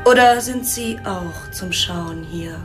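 An actress playing a streetwise, wary strip-club performer who talks in a cheeky, defiant way in an audio drama. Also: female voice, fairly low pitch, slow pace, soft background music.

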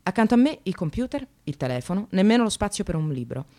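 An actress playing a bitter, wry, educated receptionist in precarious work, delivering an expressive theatrical radio monologue. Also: female voice, mid-range voice, fast. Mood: bitter, reflective. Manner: sardonic, expressive, swearing, addressing the audience.

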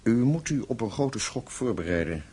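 An actor playing a courteous, solemn French innkeeper in a radio play, polite and hesitant, with a husky voice. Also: male voice, mid-range voice, animated, moderate pace, dark tone. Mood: grave, reluctant. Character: courteous, grave French innkeeper breaking bad news.

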